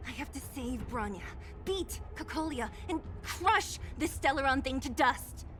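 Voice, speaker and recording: An actress playing a plucky, determined young heroine in video game dialogue, resolute and plain-spoken. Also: female voice, high-pitched, animated, unhurried, fairly bright tone. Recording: game music behind.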